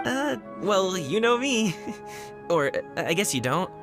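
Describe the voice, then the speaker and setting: A woman's voice: medium pitch, big pitch swings, unhurried, over music. A woman voicing a cheerful, friendly young man guard who comes across as a little sheepish.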